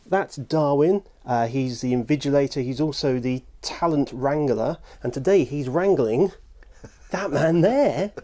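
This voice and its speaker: Mellow-voiced man presenting a podcast. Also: mid-range voice, unhurried. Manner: enthusiastic, bantering. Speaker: podcast presenter interviewing a guest. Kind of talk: chatty, jokey interview. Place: audio studio. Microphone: lapel mic, later ambient room mic.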